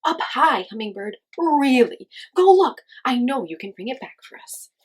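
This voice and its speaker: A woman voicing an excitable, dramatic male coyote in a children's story, exclaiming and muttering. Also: high voice, normal speed, breathy edge, voice pushed up, full character voice. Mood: urgent, pleading.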